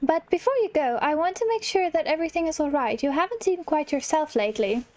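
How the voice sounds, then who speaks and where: Gracious female mouse for a game, voiced by a woman: high, lively, delicate, kindly. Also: fast talker. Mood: tender. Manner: polite, tender, delicate. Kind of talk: reading video game dialogue in character.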